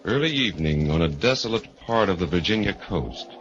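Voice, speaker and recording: Ominous radio narrator, deep voice, dramatic, 1940s horror show, dull old recording. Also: male voice, animated, brisk. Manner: portentous, dramatic. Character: ominous, theatrical narrator of midnight horror tales. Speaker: narrator and announcer of horror anthology. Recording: muffled old radio recording, loud music behind.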